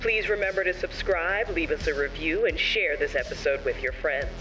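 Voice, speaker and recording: Male credits announcer, lighter higher voice, scripted read, a little distant with music underneath. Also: moderate pace. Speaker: announcer reading the closing credits. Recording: slightly distant, faint music behind.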